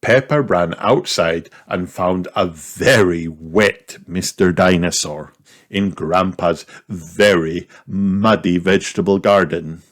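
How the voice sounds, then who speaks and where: A man reading a children's picture book aloud in an expressive storytelling manner. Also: sits low, slow pace. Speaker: storybook reader. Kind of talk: scripted children's story narration.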